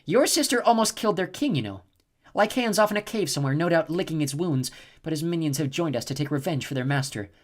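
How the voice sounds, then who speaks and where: A man voicing a cold, scheming female snow goddess who speaks softly with a purring, gloating manner. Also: on the high side, expressive, quick pace, pitched above the performer's own voice. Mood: icy gloating. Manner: soft, purring, icy and gloating.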